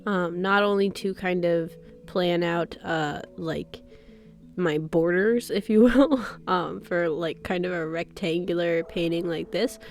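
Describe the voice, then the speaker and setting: A young woman hosting her art channel, talking casually while she paints. She has a cold, so her voice sounds stuffy, and she rambles in a chatty way with soft laughs and fillers. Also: lowish voice, steady tone, takes it slowly, faint music behind. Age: young adult. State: stuffy, sick voice with a cough. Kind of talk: casual chat over a paint-with-me video.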